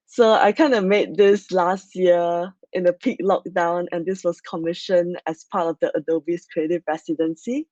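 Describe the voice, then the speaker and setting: A young woman from Malaysia presents at an online conference in a loose, chatty way, leaning on "so yeah" and "like". Her voice sits a little low. The audio is dry and a bit muffled, as from a computer mic on a video call. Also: dark tone, no room sound. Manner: chatty, many fillers like "like" and "so yeah". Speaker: conference speaker.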